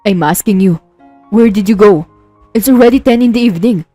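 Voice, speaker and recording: Lady doing a stern moody husband, mellow dark tone, suspicious, romance audio drama. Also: medium pitch, normal speed, no room sound, faint music behind, natural voice. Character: brooding, suspicious rich husband, stern and wounded.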